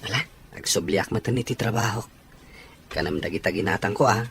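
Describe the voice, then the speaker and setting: A man playing a good-natured, animated character in an Ilocano audio drama. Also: on the low side, brisk, vocal fry, slight background noise. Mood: friendly, attentive.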